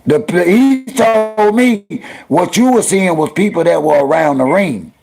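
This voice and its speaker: A man's voice: sits high, measured pace, creaky, a little mellow, faint music behind. A man in his fifties hosting a call-in talk show, talking in an opinionated, emphatic way. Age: 50s.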